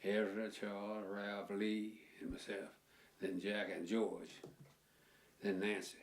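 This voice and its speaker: A man's voice: lowish voice, little pitch movement, takes it slowly. An eighty-year-old man from Virginia, recalling his family's farm life in an oral history interview. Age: 80s.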